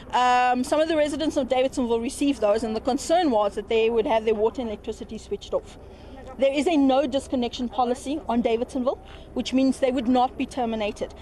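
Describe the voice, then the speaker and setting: A woman with a South African accent explains matters in a news interview. Her pitch is somewhat high, and faint outdoor and vehicle noise sits behind her. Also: darkish tone.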